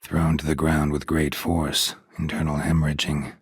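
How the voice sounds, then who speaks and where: A gruff, dry-witted monster hunter for a fantasy video game. His voice is extremely deep and heavily rasped, recorded bone-dry. He speaks in clipped, deadpan phrases with a stoic temper. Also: normal speed, no echo, heavily acted. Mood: somber, measured. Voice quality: gravelly, husky low voice.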